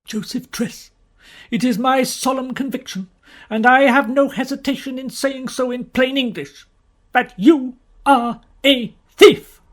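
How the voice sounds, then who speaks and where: Man playing an indignant gentleman, high-pitched, emphatic, excitable, easily outraged, storybook character. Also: a little slow. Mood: indignant, accusing. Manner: emphatic, spluttering, repeats himself. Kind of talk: dramatised audiobook character reading.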